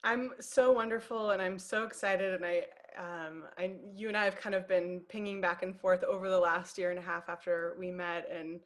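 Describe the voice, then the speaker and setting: A woman in her late thirties with an American Pacific Northwest accent, talking as an interview guest in a warm, reflective way. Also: fairly low pitch, fairly even intonation, normal speed, few pauses. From United States, Seattle. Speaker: guest, emotional intelligence coach. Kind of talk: conversational interview.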